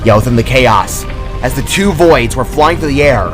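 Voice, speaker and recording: A man's voice: medium pitch, animated, normal speed. Man narrating an action story over music. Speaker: author-narrator. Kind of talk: dramatic scripted story narration.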